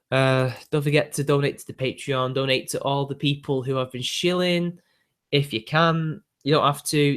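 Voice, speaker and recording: A man's voice: mid-range voice, fairly bright tone. A British man in his twenties with a young-sounding voice, chatting casually and ranting to his viewers with lots of fillers. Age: 20s. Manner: ranty, exasperated, filler-heavy. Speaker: YouTube host.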